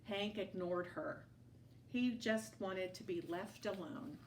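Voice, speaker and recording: A woman reading a children's story aloud to toddlers in an expressive storytelling style. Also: mid-range voice, slight background noise, low-quality recording. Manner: slow, animated read-aloud.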